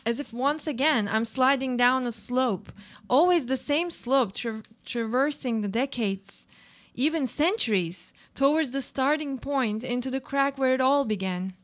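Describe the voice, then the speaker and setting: A woman's voice: high-pitched, measured pace. A woman acting in a live radio play as a weary, haunted woman, delivering a reflective, theatrical monologue. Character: weary, haunted woman brooding on hope and existence.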